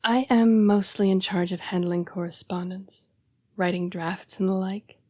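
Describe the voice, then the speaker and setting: A woman playing a young-seeming, formal and apologetic female vampire in an intimate audio roleplay, breathy and often dropping to a whisper. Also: medium pitch, level tone, warm tone, no room sound, lightly acted. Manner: formal, polite, measured phrasing. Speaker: vampire council's correspondence assistant.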